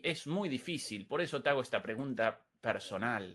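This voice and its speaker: A man's voice: mid-range voice, expressive, fast, fairly bright tone. A man hosting a live political talk show and commenting as he goes.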